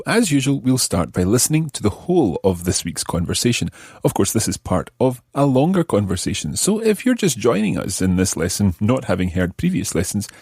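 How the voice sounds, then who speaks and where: A man presents a language lesson in a low, slightly husky voice. His intonation moves a lot as he explains things carefully, and the recording is dry and close. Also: darkish tone, no room sound. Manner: explanatory, carefully enunciated teaching. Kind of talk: scripted lesson narration.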